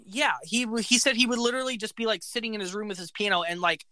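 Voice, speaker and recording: Man, high voice, bright tone, dry close sound, enthusiastic, rambling music talk. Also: steady tone, fast talker, dry recording. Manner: enthusiastic, fact-filled, says "like" often. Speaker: podcast co-host. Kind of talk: casual music chat.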